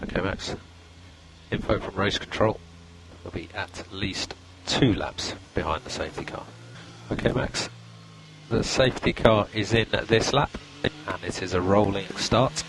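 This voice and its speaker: A calm male race engineer giving clipped, procedural instructions over team radio, repeating key points. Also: lowish voice, unhurried, vocal fry. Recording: noisy radio link, engine noise.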